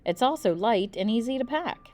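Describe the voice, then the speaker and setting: A woman's voice: fairly high pitch, lively intonation, normal speed, darkish tone, pitched above the performer's own voice, lightly acted. A woman reading the part of a confident uncle who instructs children in survival tips, in a children's storybook. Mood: confident, instructive. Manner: emphatic, instructive. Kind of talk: children's storybook read-aloud.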